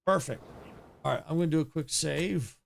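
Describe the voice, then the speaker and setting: A man streamer chatting casually as he wraps up his stream, rambling with many restarts, his voice getting raspy. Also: fairly high pitch, moderate pace, a little hiss or hum. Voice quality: gravelly. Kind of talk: casual stream sign-off chat.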